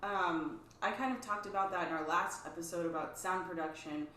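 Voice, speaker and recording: A woman's voice: lowish voice, brisk, pushed and bright, slight background noise. A woman co-hosting a casual chat, explaining string technique in an easygoing way. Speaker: co-host, string teacher. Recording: echoey room, distant mic.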